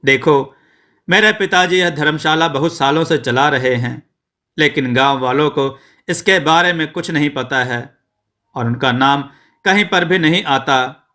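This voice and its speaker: A male storyteller reads a dutiful young son in a moral tale. He sounds polite and resolute, with a bright, slightly high voice. He speaks slowly and earnestly. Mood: earnest, persuading. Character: dutiful educated young son taking over family shop.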